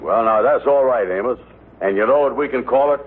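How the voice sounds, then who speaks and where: A man's voice: medium pitch, a little fast, slightly rough, natural voice. A man playing a gullible, self-important dreamer in an old radio sitcom, talking in folksy, ungrammatical style. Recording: very noisy narrow-band vintage radio recording.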